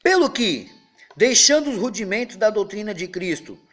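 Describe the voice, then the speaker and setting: A man's voice: sits high, very animated, moderate pace, a bit bright. A man, a pastor, leading an informal Bible study with a prayer, talking in a chatty way and often ending phrases with tag questions.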